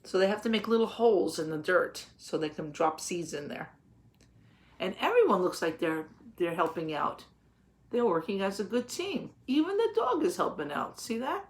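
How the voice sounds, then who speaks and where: A woman in her thirties reads a picture book aloud as a teacher for young children. She speaks gently and pauses to ask questions and explain words in simple terms. She is close to a webcam in a small home office. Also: mid-range voice, big pitch swings.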